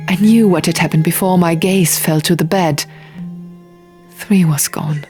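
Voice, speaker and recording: Female audiobook narrator: unhurried, hushed dramatic fiction read, lowish and creaky, soft music behind. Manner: hushed, often near a whisper.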